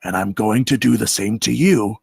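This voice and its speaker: A man voicing a hot-tempered, childish Bigfoot who blusters, shouts threats and whines in a comedy table read. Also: lowish voice, normal speed, creaky, breathy edge, no echo. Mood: menacing. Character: hot-tempered, childish, oafish male Bigfoot, easily wounded.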